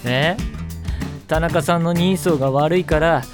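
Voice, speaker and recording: 男性演じる冷めた皮肉屋の男子高校生. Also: male voice, a little high, measured pace, loud music behind the voice, natural voice. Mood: snide. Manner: deadpan, polite but needling. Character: cool, snide, sarcastic tall high-school underclassman. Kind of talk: anime audio drama acting.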